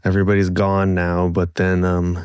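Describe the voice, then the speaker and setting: A man co-hosting a podcast in casual chat, easygoing and a little hoarse from a coming cold. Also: sits low, monotone, moderate pace, darkish tone, dry recording, studio quality. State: slightly hoarse, getting sick. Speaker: producer and co-host.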